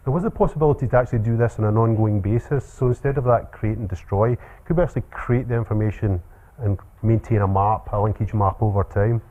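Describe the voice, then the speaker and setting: A man with a Scottish accent giving a relaxed academic seminar talk with frequent ums and uhs. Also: on the low side. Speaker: lecturer. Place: seminar room. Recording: tinny phone-like sound.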